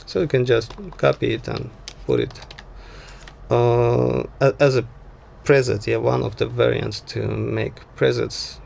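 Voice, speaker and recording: Slow-talking man with very gravelly fry. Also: mid-range voice, level tone, a little mellow, a little hiss or hum. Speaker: tutorial instructor. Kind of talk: informal software tutorial narration.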